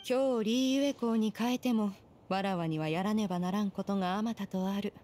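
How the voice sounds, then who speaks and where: A woman's voice: medium pitch, fairly quick, dark tone, slight background noise, natural voice. A woman playing a proud, aloof immortal master in an anime game, speaking in imperious, formal, archaic language. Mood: stern, aloof. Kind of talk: Japanese anime game voice acting.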